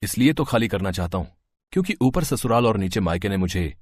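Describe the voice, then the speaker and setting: Man playing a deep-voiced, polite young husband. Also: lively intonation, fairly quick, no room sound. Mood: firm. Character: polite, helpful young son-in-law and tenant.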